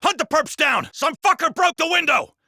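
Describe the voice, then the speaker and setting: An actor playing a jaded, foul-mouthed, sardonic police officer in a video game. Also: male voice, very high voice, lively intonation, moderate pace, vocal fry, bright, forceful tone, dry recording, studio quality, heavily acted. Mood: urgent, shouting. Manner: gossipy, sardonic, swearing. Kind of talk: scripted video game voice acting.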